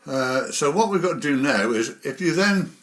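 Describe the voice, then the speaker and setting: Man casually explaining software step by step. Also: fairly high pitch, expressive, moderate pace. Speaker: presenter of a model railway software tutorial. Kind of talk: casual spoken screen tutorial.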